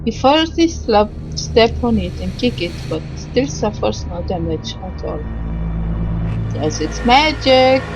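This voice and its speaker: A woman narrating a visual novel playthrough, reading the text aloud haltingly with stumbles, pauses and small asides. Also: medium pitch, very animated, poor audio. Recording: music behind the voice. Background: game music.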